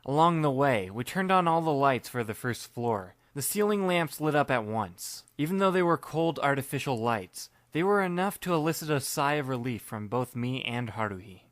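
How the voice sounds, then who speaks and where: A young man narrating in the first person, his mid-pitched voice dry and wry, with understated asides. The sound is close and dead, with no room behind it. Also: animated, moderate pace, few pauses, a bit bright, dry recording. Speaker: narrator and lead reader.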